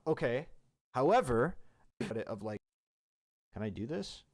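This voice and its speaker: A man's voice: medium pitch, sing-song, unhurried. A man arguing in a stream debate, pressing the other side with questions and checking for agreement. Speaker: debater in a played stream clip.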